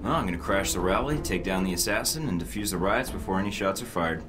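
A man's voice: low voice, lively intonation, fast talker. A man playing a cool, wry young American secret agent in a video game. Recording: loud music behind the voice.

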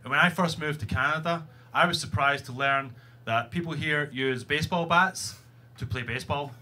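High-pitched Glaswegian stand-up comic, a man. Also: normal speed. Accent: Glaswegian Scottish. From Glasgow, Scotland. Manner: sweary, blunt, punchy with rhetorical "right?". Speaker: stand-up comedian. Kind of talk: stand-up comedy routine. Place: live comedy venue before an audience. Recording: live club recording, audience noise.

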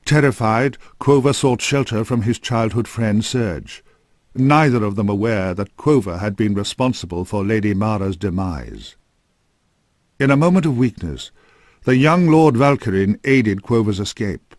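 A man narrating a scripted dark fantasy game story in a grave, measured storytelling manner. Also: fairly low pitch, expressive, dry recording, clean studio sound.

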